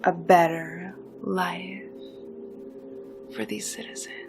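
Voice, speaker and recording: A woman playing a conspiratorial, seductive supervillain recruiter in a theatrical roleplay, speaking with dramatic pauses and hushed, breathy passages. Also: fairly low pitch, little pitch movement. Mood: conspiratorial, enticing. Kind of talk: slow theatrical roleplay monologue, partly whispered. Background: music.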